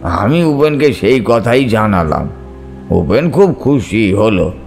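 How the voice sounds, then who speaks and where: Slow-paced male story narrator. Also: lowish voice, sing-song, creaky. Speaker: audiobook narrator. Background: soft background music.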